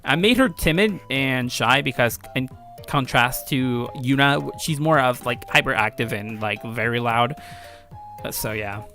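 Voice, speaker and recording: A man with a bright, forceful voice at a middling pitch talks casually as a game creator showing his work. He rambles and corrects himself, with faint music behind him. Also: measured pace. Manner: rambling, informal, self-correcting. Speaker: game creator and video host.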